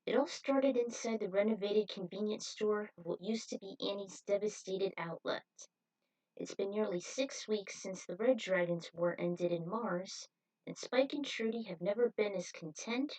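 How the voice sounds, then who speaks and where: Woman narrator for self-written fan fiction, mid-pitched, recorded some way from the microphone. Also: a little slow, darkish tone. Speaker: author reading their own fan fiction. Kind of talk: story narration read aloud. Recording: voice distant from the mic.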